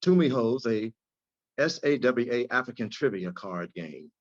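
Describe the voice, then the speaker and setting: A man narrates a screenplay's stage directions at a slow, measured pace. His pitch sits in the middle, and the audio is slightly dull. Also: lively intonation.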